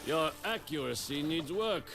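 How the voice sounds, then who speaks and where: An actor playing a stern, gruff middle-aged spear master and resistance leader in a video game, blunt and wry as he instructs. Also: fairly high pitch, lively intonation, unhurried, loud music behind the voice. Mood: stern, instructing. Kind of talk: dramatic video game acting.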